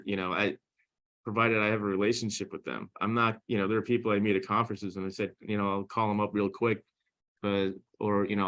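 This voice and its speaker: Male, interview guest, rapid pace, lowish pitch, conversational, emphatic founder. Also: a bit bright.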